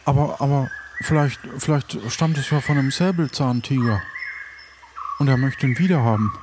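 A man's voice: mid-range voice, moderate pace. A man improvising in an audio play, speaking in a hesitant, stammering way. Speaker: player in an improvised adventure. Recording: clear background ambient noise.

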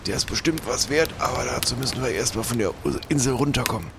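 Man playing a gravelly, grumbling little pirate. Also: medium pitch, quick pace, noisy background, close to an everyday voice. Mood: grumbling, insistent. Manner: opinionated, reproachful. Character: grumbling, proud, small older pirate man. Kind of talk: improvised audio adventure play.

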